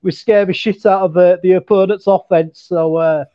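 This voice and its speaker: A Yorkshire man with a fairly high voice talks in an eager, halting way, full of "uh"s and restarts. His sound comes through a muffled, lo-fi line. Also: steady tone. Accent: Yorkshire English. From England. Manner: nervous, earnest, halting with many fillers. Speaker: show contributor. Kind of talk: casual call-in chat.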